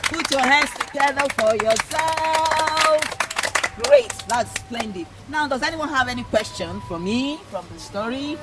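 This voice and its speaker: High-voiced Nigerian woman telling children's stories. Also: expressive, measured pace, very noisy. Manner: repeats and affirms in a teacherly way, asking questions. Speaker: children's storyteller and educator. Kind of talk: animated folktale storytelling and teaching to children.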